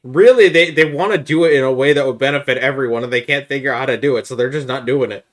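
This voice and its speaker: A man with a medium-pitched voice talks casually as a podcast host. He argues his points informally, like he is chatting with friends about games. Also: fast talker.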